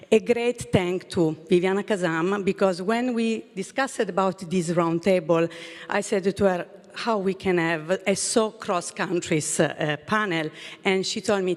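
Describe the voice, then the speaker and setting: A woman from southern Italy with an Italian accent, giving closing thanks at a conference in halting English full of fillers. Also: medium pitch, a little hiss or hum. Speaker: pharma general manager giving closing remarks. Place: conference hall. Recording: long reverb of a conference hall.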